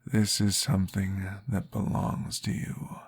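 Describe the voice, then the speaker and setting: A man voicing a calm, patient male vampire therapist, soft-spoken and reassuring, often dropping into a whisper in an ASMR roleplay. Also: deep voice, a little slow, slight background noise. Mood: soothing whisper. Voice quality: gravelly, husky. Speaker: therapist guiding a listener.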